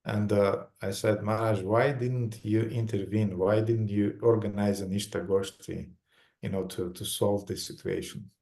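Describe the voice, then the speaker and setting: A man with a Romanian accent giving a spiritual lecture in short, halting phrases, pausing for an interpreter. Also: on the low side, steady tone, creaky, warm tone, echoey room. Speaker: visiting lecturer. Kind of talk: lecture in English with consecutive translation.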